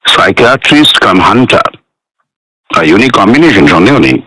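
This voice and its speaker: Forceful, imperious, menacing man. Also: medium pitch, animated, normal speed, no room sound, rough recording. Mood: guarded. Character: respectable businesslike man, guarded, menacing, commanding. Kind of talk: radio drama acting.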